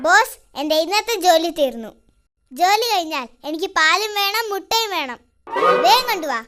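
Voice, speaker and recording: A performer voicing a bossy, demanding, hungry tomcat henchman in a children's cartoon, with a squeaky childlike voice. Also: very animated, moderate pace. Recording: music behind the voice.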